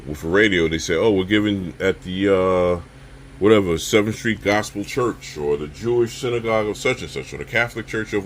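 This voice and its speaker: A man casually demonstrating a product as a reviewer, speaking haltingly and addressing viewers directly. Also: low-pitched, a little hiss or hum.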